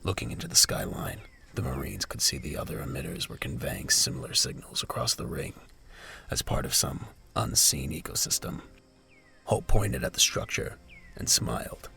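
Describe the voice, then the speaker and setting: A man's voice: a bass voice, big pitch swings, normal speed, vocal fry, bright, forceful tone. A man giving scripted story narration for an audio drama as its narrator.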